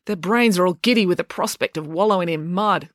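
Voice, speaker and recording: A woman's voice: on the low side, fairly quick, dry recording, clean studio sound, close to an everyday voice. A woman voicing a fierce, bossy schoolgirl in a children's storybook, with a blunt, sarcastic, commanding manner. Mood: indignant, sarcastic. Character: bossy, scornful, fierce school-age girl.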